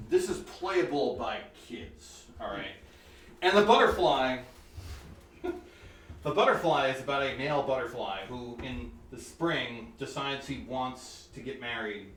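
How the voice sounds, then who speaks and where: A man's voice: mid-range voice, fairly bright tone, a little hiss or hum. A pianist at a recital, telling the stories behind each piece in a conversational way with asides to his listeners. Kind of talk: informal spoken program notes between pieces. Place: indoor room with air conditioning. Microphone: phone with USB microphone, placed away from speaker. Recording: roomy and distant with faint ambience.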